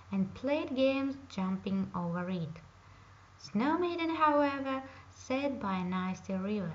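Slow, careful woman storyteller. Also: on the high side, a little hiss or hum. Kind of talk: reading a fairy tale aloud. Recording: dull lo-fi home recording.

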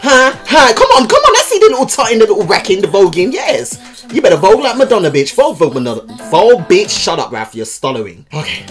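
Young guy reacting to a music video in his bedroom, sassy and loud, voice jumps all over the place, bit of music under it. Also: sits high, huge pitch swings, fast. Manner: sassy, exclamatory, swears freely. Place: bedroom at home.

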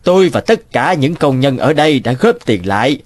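A man's voice: medium pitch, very animated, normal speed, natural voice. A man playing a kindly middle-aged garage boss in a cartoon, considerate and plain-spoken. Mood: warm, encouraging. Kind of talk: cartoon dubbing. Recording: music behind the voice.

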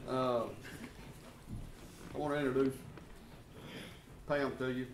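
A man introducing a guest teacher and praying, halting with fillers. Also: mid-range voice, flat delivery, recorded from a distance. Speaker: church class leader. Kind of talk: introduction and prayer. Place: church classroom. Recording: roomy echo, from off mic, faint room noise.

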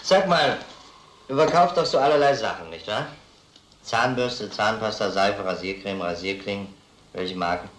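An actor playing a methodical police detective in a crime radio drama, questioning in a measured, insistent way. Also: male voice, on the low side, fairly bright tone, reverberant, a little hiss or hum, natural voice. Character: probing, methodical male police detective interrogating a suspect.

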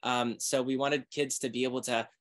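A man talking energetically as an author in a video-call webinar, pitching his book. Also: moderate pace. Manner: energetic, promotional. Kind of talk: webinar conversation.

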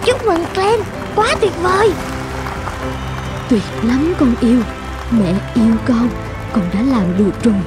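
A woman's voice: fairly high pitch, animated, measured pace, long pauses, music in the background. A woman voicing a proud, loving mother of a young boy in a cartoon. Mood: proud, joyful. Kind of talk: Vietnamese cartoon dubbing.

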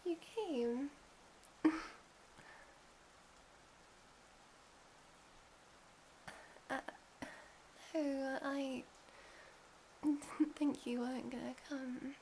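Woman acting a high-voiced, slow-speaking gentle vampire girlfriend. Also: a little hiss or hum, heavily acted, low-quality recording. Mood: welcoming, shy. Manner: gentle, hesitant, rambling, often drops to a whisper. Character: shy, affectionate young vampire woman, Dracula's daughter. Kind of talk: ASMR girlfriend roleplay, soft intimate acting.

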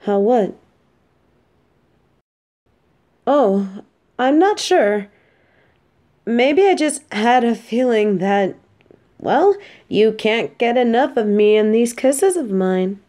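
Lady voicing a teasing villainess girlfriend, soft n affectionate, dark relaxed voice, takes her time, cozy roleplay audio. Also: on the high side, slow pace. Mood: playful teasing. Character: teasing, affectionate female supervillain girlfriend, softening at home. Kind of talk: intimate roleplay audio drama.

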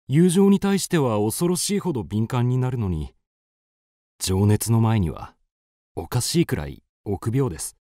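A man's voice: mid-range voice, mellow, no room sound, clean studio sound. An actor playing a courteous, wistful and persuasive male traveller in a radio drama, polite and poetic.

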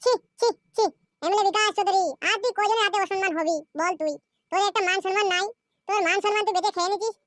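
A high-voiced performer playing furious scorned wives in a Bengali comedy cartoon, ranting and scolding in a shrill, pitched-up childlike voice. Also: fairly even intonation, quick pace, a bit bright, dry recording, clean studio sound. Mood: scolding, threatening. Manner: scolding, foul-mouthed, ranting.

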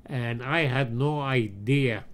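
Slow, halting older man. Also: medium pitch. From Egypt. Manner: slow, halting storytelling with many pauses and fillers. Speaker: interviewee, retired engineering professor. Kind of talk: oral history interview. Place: his home.

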